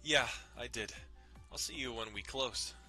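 A man's voice: mid-range voice, unhurried, pushed and bright, faint music behind. A man playing an amused, dutiful young pastry shop worker in an understated, easygoing way, in a visual novel reading.

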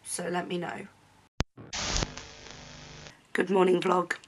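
A young woman chatting casually to camera in a home vlog, filling pauses with lots of "um"s. In later clips she has a cold and sounds hoarse. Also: fairly low pitch, completely flat delivery, background noise. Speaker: vlogger. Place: bedroom at home.

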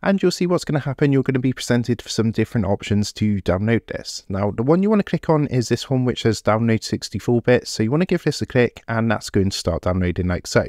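A man walks viewers through a software setup one click at a time, with a mid-pitched voice and an easygoing, repetitive way of explaining. The sound is close and dry, with almost no room in it. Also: quick pace, hardly any pauses, no echo. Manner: steady step-by-step instructions, repeats "what you wanna do". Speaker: tech tutorial presenter. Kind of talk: screen-recorded software tutorial. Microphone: desktop USB microphone.

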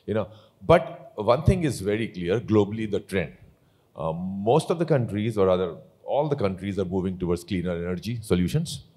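A man's voice: low-pitched, big pitch swings, moderate pace, warm tone, husky. A middle-aged man answering an audience question on a conference panel, explaining at length with many fillers, into a handheld mic. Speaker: panelist, carmaker executive. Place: large conference hall stage. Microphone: handheld microphone.

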